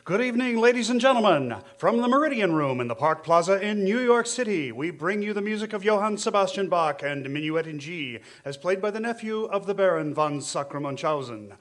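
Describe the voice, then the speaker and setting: A courteous male radio host of the 1930s, played by an actor, presenting ballroom music in a radio drama. His voice is high-pitched, with a formal, showy delivery. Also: expressive, brisk, hardly any pauses, fairly bright tone. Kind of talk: scripted radio concert introductions in a radio play.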